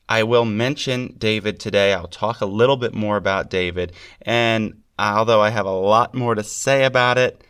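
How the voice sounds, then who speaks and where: Congested, slightly gravelly male podcast host. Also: lowish voice, a bit bright. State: congested with a cold. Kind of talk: scripted lecture on art history.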